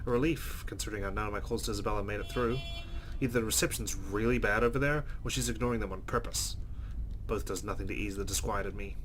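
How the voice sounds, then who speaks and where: A man's voice: fairly low pitch, noisy background. A man hosting a let's play, reading visual novel narration aloud with casual asides.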